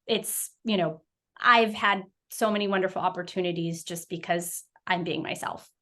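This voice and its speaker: A woman's voice: fairly low pitch, moderate pace, a bit bright. A young woman, an occupational therapy practitioner, talking as a guest in a casual interview, reflective and full of fillers and restarts. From United States.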